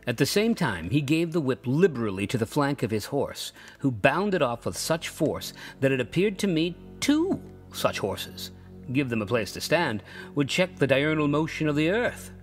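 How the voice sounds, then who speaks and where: A man's voice: mid-range voice, very animated, normal speed, faint music behind, lightly acted. A man voicing a stubborn male toll-gatherer who tells a tall tale in a storybook reading.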